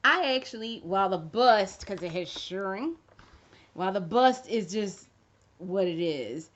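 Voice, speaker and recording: A woman's voice: mid-range voice, animated, a little slow. A woman in her thirties chatting casually to camera as a fashion vlogger, rambling and swearing freely with jokey asides. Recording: dull lo-fi recording.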